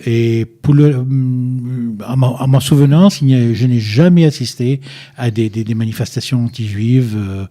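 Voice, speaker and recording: An older man from Egypt speaks into a studio microphone in a very dark, mellow voice. He halts and repeats words as he searches for them, then presses his point firmly. He sits a little back from the mic at times. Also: medium pitch, steady tone, normal speed. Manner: hesitant, repeats words while searching, firm and insistent. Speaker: guest. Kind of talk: radio interview. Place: radio studio. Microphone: studio microphone, at times too far away.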